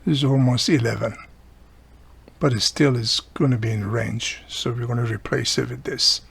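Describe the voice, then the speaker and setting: Husky guy with some fry in his voice, casually walking thru a repair step by step. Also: mid-range voice, steady tone, moderate pace, a bit bright. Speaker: radio repairer and video host. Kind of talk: casual hands-on repair demonstration.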